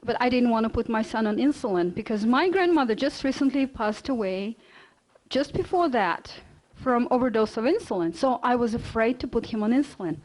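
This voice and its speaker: Woman, Russian accent, middle-aged, personal lecture, earnest, fillers and restarts, somewhat high pitch. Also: lively intonation, fairly quick, darkish tone, poor audio. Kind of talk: storytelling lecture.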